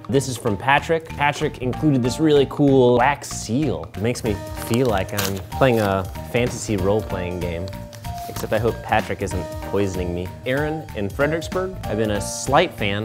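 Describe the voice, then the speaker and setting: A young man with a fairly low voice hosts a comedy vlog, opening fan mail and tossing in dry, wry asides. Music plays clearly behind him. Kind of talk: deadpan comedic vlog banter.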